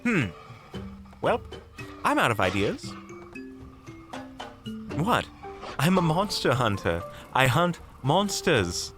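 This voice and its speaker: A man's voice: on the high side, wildly swooping pitch, takes it slowly, pauses often, over music, exaggerated voice. A man playing a polite, unflappable monster hunter in an audio roleplay, speaking in a formal, prim, theatrical manner. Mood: wry. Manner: formal, prim, wryly courteous.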